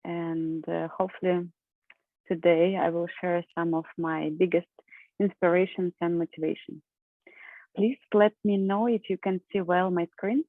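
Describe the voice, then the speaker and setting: A woman from Russia speaks to an online conference, friendly, pausing on fillers and letting out small laughs. Her voice sits a little low and comes over a narrow, phone-like call line. The sound is dry, with no room around it. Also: fairly even intonation, dry recording. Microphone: video call.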